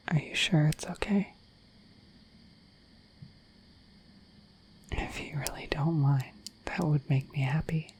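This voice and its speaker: A woman voicing a shy, affectionate, dorky dragon in a bashful, hesitant breathy whisper for an ASMR roleplay. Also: low-pitched, dark tone, exaggerated voice, low-quality recording. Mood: hesitant, considerate. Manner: bashful, hesitant, apologetic, rambling.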